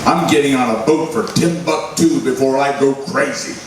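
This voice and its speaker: A man's voice: mid-range voice, normal speed, lightly acted. A man playing a harried, blustering newspaper editor in a live recreation of an old-time radio serial. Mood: exasperated. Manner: blustery, curt. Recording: very echoey hall, far microphone, loud noise.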